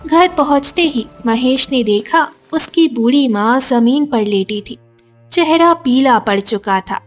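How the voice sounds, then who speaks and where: Slow, high-pitched woman narrating a story. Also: lively intonation. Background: background music.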